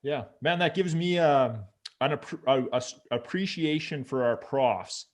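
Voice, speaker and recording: A man's voice: fairly high pitch, unhurried. A man hosting a podcast, asking questions in a casual, friendly way with fillers like "man" and "like". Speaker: podcast host. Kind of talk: conversational interview.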